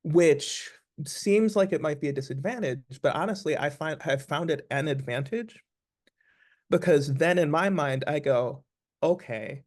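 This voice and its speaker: A man's voice: a little high, measured pace. An American man, an actor being interviewed, talking reflectively and restating his points with emphasis. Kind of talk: conversational interview.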